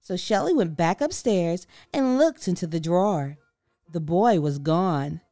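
Animated woman reading a storybook, unhurried. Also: fairly low pitch, no echo. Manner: expressive, deliberate storytelling. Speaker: storybook reader. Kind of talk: children's read-aloud narration.

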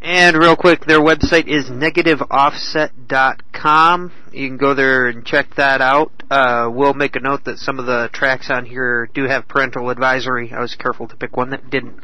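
Man with a very bright, forceful voice. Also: medium pitch, flat delivery, moderate pace, few pauses, a little hiss or hum, poor audio. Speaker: radio host. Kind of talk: live talk radio chat.

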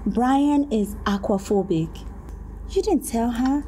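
High-voiced meddling Nigerian best friend. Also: female voice, slow, dark tone, slight background noise, poor audio. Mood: smug. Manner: chatty, reminiscing. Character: meddling, overfamiliar young female best friend, smug and self-pitying. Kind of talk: naturalistic screen drama acting.

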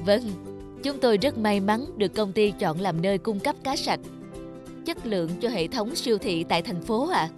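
A woman's voice: medium pitch, animated, moderate pace, music in the background, lightly acted. A woman playing an earnest, determined young male fish farmer in a cartoon. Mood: modest pride. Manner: earnest, resolute. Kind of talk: cartoon dub acting.

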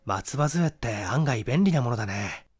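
Eager young male sleuth played by a male reader, storybook mystery, confident explaining, animated intonation. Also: medium pitch, moderate pace, breathy edge, no room sound, clean studio sound. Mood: cheerful. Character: eager, self-assured young man, amateur detective.

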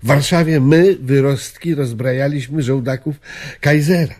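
An actor playing a weary, nostalgic old gentleman in a radio drama, speaking wistfully in halting, declamatory phrases. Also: medium pitch, steady tone, natural voice. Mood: wistful, fervent. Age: older.

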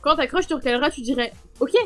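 A woman's voice: high-pitched, fast talker, over music. A young woman chatting casually on a webcam from a room. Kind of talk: casual giggly chat. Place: dim bedroom, indoors.

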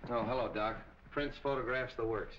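A man's voice: mid-range voice, steady tone, normal speed, close to an everyday voice. An American man playing a middle-aged, short-tempered police captain in a 1940s film, barking orders impatiently. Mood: businesslike. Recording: muffled, noisy old 1940 film soundtrack.

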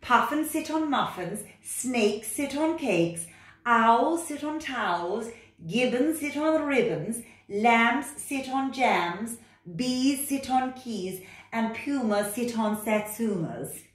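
Woman putting on a bossy, high-pitched, emphatic storybook cat. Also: expressive. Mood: insistent. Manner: emphatic, singsong, drawn-out rhyming pronouncements. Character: bossy, impatient know-it-all cat in a picture book. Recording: roomy, distant microphone.

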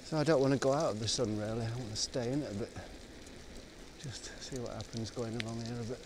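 A man's voice: medium pitch, quick pace. A man rambling on in a loose, musing way while cycling outdoors, recorded on a camera mounted on his bike. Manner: musing, wandering, loose and unscripted. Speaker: vlogger. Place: outdoors on a country road and woodland, riding a bike. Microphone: action camera on the bike. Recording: noisy outdoor action-camera audio.

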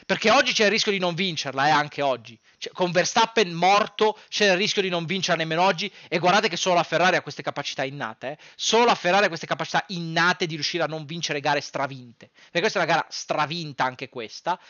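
A man's voice: on the high side, fast, hardly any pauses, bright, forceful tone, no echo, dull audio. A man delivers heated, exasperated, foul-mouthed commentary to camera, hammering home points by repeating phrases. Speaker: sports content creator. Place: small dim room at home.

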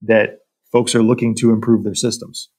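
A man speaking as an expert podcast guest, explaining industrial work in a measured, technical way. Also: on the low side, level tone, darkish tone. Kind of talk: explanatory interview talk about industry.

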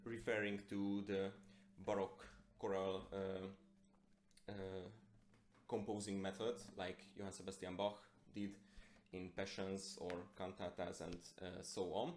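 A young man from Hungary with a Hungarian accent, a guitarist and composer introducing a concert in hesitant, halting phrases. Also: low voice, level tone, a little mellow. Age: young adult. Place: plain indoor room. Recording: echoey small room.

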